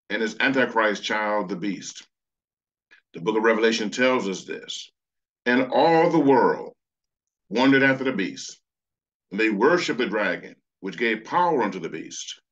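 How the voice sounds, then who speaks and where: A man's voice: medium pitch, fairly bright tone, lo-fi sound. A man preaching a sermon over slides, earnest, reading scripture passages aloud. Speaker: pastor.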